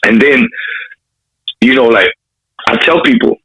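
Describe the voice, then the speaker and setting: Animated, raspy streetwise Los Angeles man. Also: medium pitch, unhurried, rough recording. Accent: urban Los Angeles. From Compton, California. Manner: streetwise slang, frequent swearing. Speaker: rapper, interview guest. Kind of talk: animated storytelling in a casual interview.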